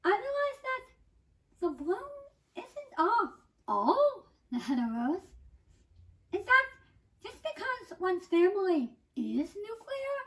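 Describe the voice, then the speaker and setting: A woman acting out an exuberant young woman with a strikingly high voice, gushing through a theatrical stage monologue. She takes her time and swoops dramatically through every punning phrase. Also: full character voice, low-quality recording. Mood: wry, delighted.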